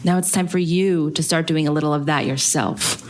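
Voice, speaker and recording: A woman's voice: sits low, fairly even intonation, normal speed, lightly acted. A woman playing a frank, caring friend with a dry, consoling manner in a live radio play. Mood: dryly amused. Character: wry, caring, plain-spoken woman friend from Texas. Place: live on a theatre stage.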